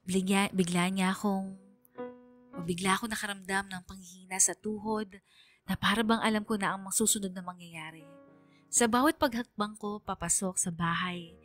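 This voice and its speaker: A devoted teenage daughter, voiced by a woman, tells her own story in a mid-pitched voice. The narration is earnest and heartfelt with dramatic pauses, over a musical bed in radio drama style. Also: steady tone, a bit bright, faint music behind, natural voice. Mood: grieving. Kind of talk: first-person radio drama letter narration.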